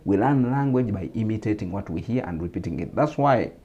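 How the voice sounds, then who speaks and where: A man's voice: lowish voice, expressive, brisk, slightly rough, dull audio. A man from Uganda with a Ugandan English accent, a guest on a talk show, explaining steadily with repetitions and fillers.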